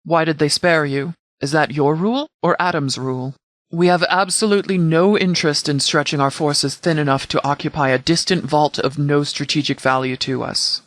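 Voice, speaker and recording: A man playing a composed, pragmatic military commander in a video game, speaking in a formal, measured, tactical way. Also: on the high side, fairly even intonation, a bit bright, dry recording, studio quality, natural voice. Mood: firm, measured. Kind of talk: video game dialogue acting.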